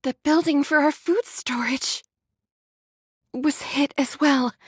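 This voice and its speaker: A woman voicing a gentle, devout young android in a game, with a polite, soft manner. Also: high-pitched, steady tone, slow, no room sound, studio quality. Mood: grave. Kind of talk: anime-style game voice acting.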